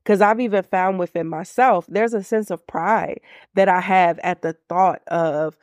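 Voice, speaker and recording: A woman's voice: fairly low pitch, steady tone, a little slow, dry recording, studio quality. A woman in her thirties hosting a faith-based business podcast, sounding congested and nasal from a cold, speaking earnestly and reflectively. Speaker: podcast host.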